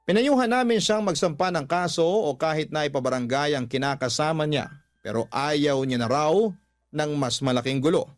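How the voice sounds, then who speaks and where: A man's voice: a little high, moderate pace, no room sound, faint music behind. A man reading a first-person confessional letter as scripted radio-style storytelling.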